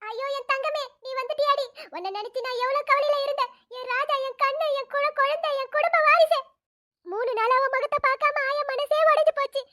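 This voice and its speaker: A woman's voice: very high-pitched, fairly even intonation, fast talker, pushed and bright. A woman voicing a tearful, doting old grandmother who fusses over her grandson with endless endearments. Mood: tearfully doting. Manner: wailing, fussing endearments. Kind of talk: animated story voice acting.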